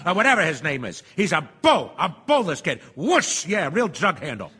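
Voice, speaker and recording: A wisecracking male juror in a radio play who wants to be gone. His voice is high, bright and very animated. He is brash and sarcastic, pushing everyone along. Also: moderate pace, slightly rough, full character voice. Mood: impatient, wisecracking. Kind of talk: stage radio drama acting.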